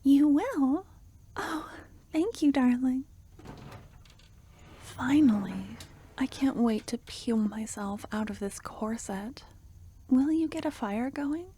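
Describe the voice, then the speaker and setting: A woman voicing an affectionate young female court necromancer in a soft, half-whispered, tender and intimate fantasy ASMR roleplay. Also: high-pitched, lively intonation, slow, long pauses, slight background noise, voice pushed up. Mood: warm, excited.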